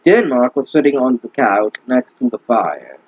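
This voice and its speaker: Sarcastic husky young man ranting. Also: mid-range voice, big pitch swings, moderate pace, dry recording. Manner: sarcastic, exasperated, swears often. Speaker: fanfic reader and commentator. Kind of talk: mocking commentary on a bad story. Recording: dull lo-fi dry mic.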